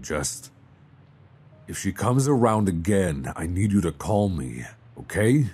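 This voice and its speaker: A man playing a worried, tender mobster boyfriend in an intimate ASMR roleplay, halting and hesitant with sighs. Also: sits low, darkish tone, slightly rough, full character voice.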